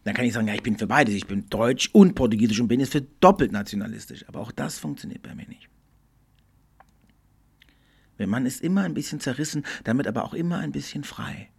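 A middle-aged man hosting a podcast, speaking in a thoughtful, earnest monologue that often slows and drops almost to a whisper. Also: medium pitch, lively intonation, vocal fry, a little mellow, husky. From Germany. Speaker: podcast host. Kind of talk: reflective solo podcast monologue.

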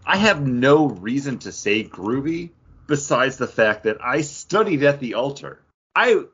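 A man's voice: lowish voice, fairly bright tone, breathy edge, highs cut off. A man in his thirties co-hosting a podcast in loose, casual chat. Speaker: podcast co-host.

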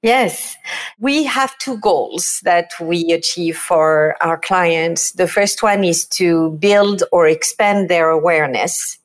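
A middle-aged Frenchwoman with a fairly low voice speaks English with a clear French accent. She tells her story with eager, upbeat energy. She is a podcast guest. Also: slow pace. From France, Provence. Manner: lively, enthusiastic storytelling. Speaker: guest, PR agency president. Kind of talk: podcast interview.

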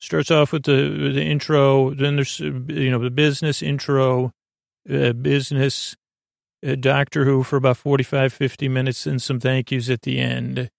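A man hosting a bedtime podcast in a meandering, halting monologue, with a gravelly voice and a lulling, soothing manner. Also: mid-range voice, flat delivery, no echo, clean studio sound. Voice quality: gravelly, creaky. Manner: rambling, halting, self-interrupting. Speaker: podcast host.

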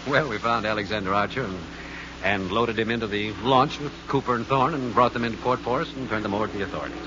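An actor playing a dry, deadpan, level-headed American adventurer in a 1940s radio drama. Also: male voice, lowish voice, fast talker, close to an everyday voice. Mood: sardonic. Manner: deadpan, sardonic. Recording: noisy, dull old-time radio recording.

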